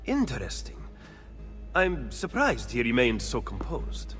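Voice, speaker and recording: Stern, reverberant schemer. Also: male voice, mid-range voice, huge pitch swings, measured pace, a bit bright, faint music behind. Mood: sceptical. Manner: clipped, questioning, formal. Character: stern, sceptical, impatient male political schemer. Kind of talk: video game cutscene acting. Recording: long hall reverb.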